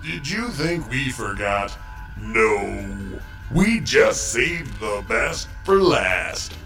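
Theatrical male game show host, swooping pitch. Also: big pitch swings, very slow, a bit bright, distant mic, soft background music. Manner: theatrical, exaggerated announcer delivery. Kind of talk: mock game show hosting, scripted comic narration.

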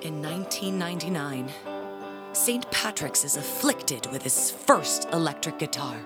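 Proud, dramatically expressive church bell ringer. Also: female voice, low-pitched, loud music behind the voice. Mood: aggrieved, indignant. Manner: theatrical, haughty, deliberate storytelling. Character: proud, aggrieved, self-important middle-aged female church bell ringer. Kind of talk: audio drama dialogue.

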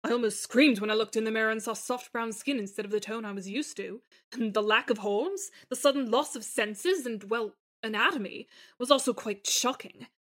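A woman's voice: a little high, moderate pace, lightly acted. A woman voicing a tender woman reunited with her lost lover in a fan fiction podcast, speaking in careful, formal phrases full of feeling. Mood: shaken, hesitant. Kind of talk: dramatised fan fiction reading.

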